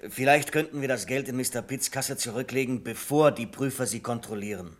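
A man acting in a German radio play as a level-headed, deferential bank clerk, politely and insistently measured. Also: mid-range voice, natural voice. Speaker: radio drama actor.

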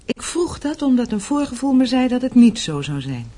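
An actress in a radio play playing a thoughtful, foreboding young daughter of a wealthy family, earnest and wistful. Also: female voice, a little high, sing-song, normal speed, mellow. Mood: reflective, foreboding. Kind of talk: Dutch radio play acting.

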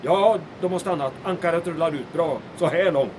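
A man plays a fretful aide in a comic radio play. His voice is high, with a worrying, wry delivery, and a clear rumbling noise runs behind him. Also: close to an everyday voice. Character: anxious, dryly sarcastic male aide to a commandant. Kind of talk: comic audio drama acting.

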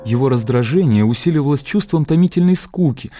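A man narrating a literary radio drama in a measured storytelling manner. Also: medium pitch, animated, mellow, soft background music.

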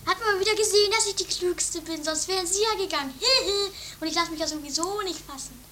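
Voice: very high voice, fairly quick, sharp tone. A performer voicing Doris, a dramatic, boastful and scatterbrained woman, in an improvised trash comedy radio play. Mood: smug, scatterbrained. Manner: rambling, muddled, self-satisfied. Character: Doris, dramatic, scatterbrained, boastful sister, self-styled immortal. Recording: noisy amateur 1980s tape.

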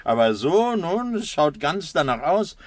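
An actor playing a villainous nerve doctor in a 1960s German radio thriller, mock-friendly, sardonic and coldly menacing. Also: male voice, a little high, sing-song, unhurried, no echo. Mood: smugly threatening.

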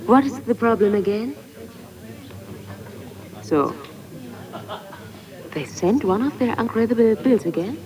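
A woman playing a brisk, exasperated hotel receptionist on the telephone in a radio play. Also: a little high, animated, crowd noise, low-quality recording. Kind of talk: radio play phone conversation in English.

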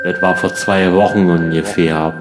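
A man's voice: deep voice, steady tone, normal speed, over loud music, lightly acted. A man playing a slangy, earnest, absurdly logical slacker band member in a radio comedy, talking in a colloquial, matter-of-fact way. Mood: earnest, deadpan.

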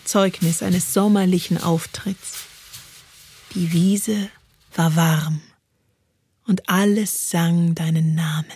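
A woman performing a literary spoken-word piece in a measured, poetic delivery. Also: on the low side, steady tone, a little mellow, breathy edge, no room sound. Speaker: poetry performer. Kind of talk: literary spoken-word reading over music.

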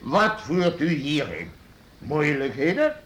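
An actor playing a pompous, irritable burgomaster in an old radio drama. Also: male voice, fairly high pitch, sing-song, slow, a bit bright, full character voice. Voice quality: pompous, testy. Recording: roomy old radio play recording, faint noise.

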